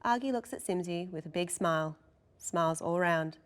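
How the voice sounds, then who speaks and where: A woman's voice: on the low side, moderate pace, clean tone. A woman reading scripted narration and stage directions as narrator at a table read.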